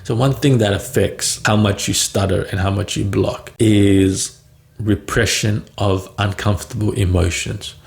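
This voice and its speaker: A man's voice: lowish voice, fairly even intonation, unhurried, gravelly. A man who stutters, talking in a casual, free-flowing vlog, with blocks, repeated words and many "right?" tags. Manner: frequent "right?" and "um" fillers. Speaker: vlogger on stuttering.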